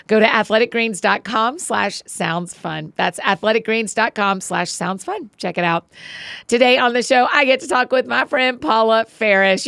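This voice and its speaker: A woman's voice: mid-range voice, dry recording, studio quality. Upbeat woman podcast host. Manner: upbeat, warm laughing banter. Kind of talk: casual chat and read ad.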